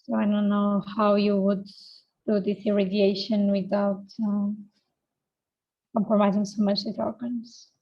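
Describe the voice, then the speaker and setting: A woman's voice: medium pitch, level tone, unhurried, dull audio. A woman taking part in an online workshop, asking a tentative question about her work. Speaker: workshop participant.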